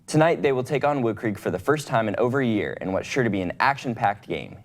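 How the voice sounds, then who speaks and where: A man's voice: medium pitch, expressive, fast talker. Young man reading school sports news. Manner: upbeat, reads from script. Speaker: student sports anchor.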